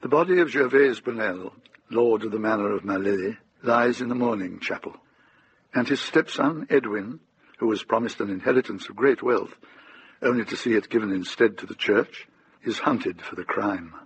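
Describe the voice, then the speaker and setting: An actor narrating a medieval mystery for radio in a measured, formal manner. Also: male voice, on the low side, slight background noise, close to an everyday voice, low-quality recording. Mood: grave, measured. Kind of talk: scripted radio drama narration.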